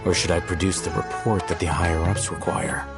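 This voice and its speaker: Casting: dutiful male official, video game, low voice, deliberate and musing, loud music behind. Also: little pitch movement. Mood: pondering. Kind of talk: anime video game voice acting.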